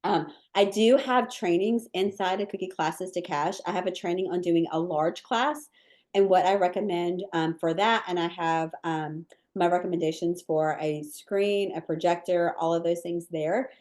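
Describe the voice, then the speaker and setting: A woman with a low voice, a cookie decorating teacher answering viewer questions in a live webinar, chatty and relaxed with many ums and likes. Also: moderate pace.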